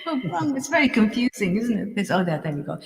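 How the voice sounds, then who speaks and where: A woman's voice: mid-range voice, very animated, fast talker, creaky, in a large hall. A woman with an Irish accent, a guest musician chatting casually between songs, giggly and full of ums. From Ireland. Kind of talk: casual chat between songs on a live stream.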